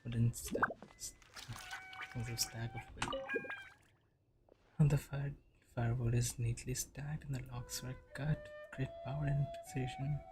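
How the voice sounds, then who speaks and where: A woman's voice: a bass voice, low-quality recording. A woman streaming a game, reacting casually to the story and reading on-screen text aloud. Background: game music.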